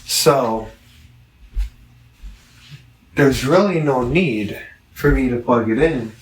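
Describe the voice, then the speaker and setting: Casual male vlogger, unhurried and rambling review delivery with halting self-corrections, recorded roomy and distant from the mic. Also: mid-range voice. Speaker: amateur product reviewer.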